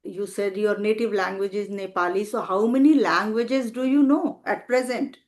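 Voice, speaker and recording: An older woman, a retired teacher, interviewing a guest in a slow, encouraging conversational style with long framing questions. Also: medium pitch. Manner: thoughtful, pauses with "uh", asks long framing questions. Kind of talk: slow, encouraging conversation in English as a second language.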